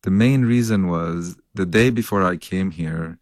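A middle-aged scientist from Lebanon talks through his past in a very deep voice. He tells his story earnestly, chuckling between thoughts. The sound is close and dry, with no room in it. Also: measured pace, no room sound. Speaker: scientist, professor. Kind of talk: reflective interview answers.

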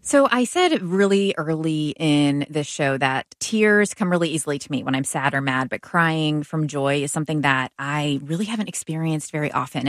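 A woman's voice: sits low, no echo. A woman hosting a radio interview, conversational and reflective. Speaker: host. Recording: dry, close studio sound.

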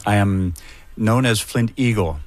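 A Mohawk man speaking in a slow, measured, solemn way about his land and language. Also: on the low side. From Mohawk of the Iroquois. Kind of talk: solemn spoken reflection. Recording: soft music behind the voice.

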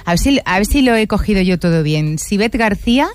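A woman's voice: medium pitch, lively intonation, a little fast. A woman hosts a casual radio chat. She talks in a chatty way and laughs often. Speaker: radio host.

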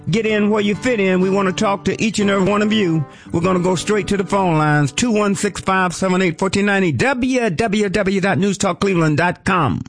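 A man hosts talk radio with a squeaky, high voice and an exuberant delivery, repeating catchphrases and calling out cues. Faint country music plays behind him in the studio. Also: fairly quick, vocal fry, hardly any pauses. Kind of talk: lively talk radio hosting.

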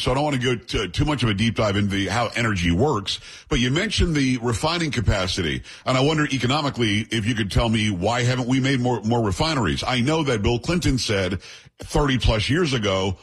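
A talk radio host presses his guest with quick, pushy questions and animated asides. His voice sits at a middle pitch with a husky edge, and he comes across through a radio broadcast. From New York, raised in Florida.

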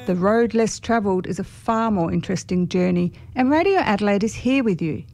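Female promo narrator, medium pitch, scripted station announcement over a faint music bed. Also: lively intonation, a little fast, darkish tone, dry recording. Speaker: radio promo voice.

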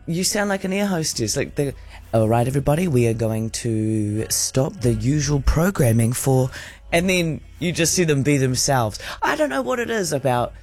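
A man co-hosting a radio show, chatty and animated, joking about himself. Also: mid-range voice, normal speed, soft background music. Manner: animated, chatty, self-deprecating. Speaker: radio host. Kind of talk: casual radio chat.

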